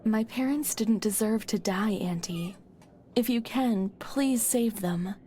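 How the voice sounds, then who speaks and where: An actress playing an earnest, grieving young niece in a video game cutscene. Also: female voice, mid-range voice, slow, warm tone, lightly acted. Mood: sad, pleading.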